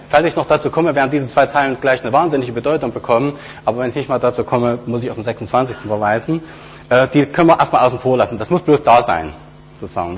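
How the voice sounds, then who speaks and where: A man's voice: mid-range voice, breathy edge. A man giving a technical conference talk with a live demo, explaining step by step with many hesitations and fillers. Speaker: conference speaker. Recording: dull lo-fi recording with faint hum.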